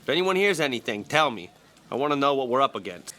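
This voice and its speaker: A man's voice: medium pitch, very animated, fast, heavy background noise, close to an everyday voice. A man playing a weary, guarded gang leader in a period audio drama, curt, dry and exasperated. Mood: commanding.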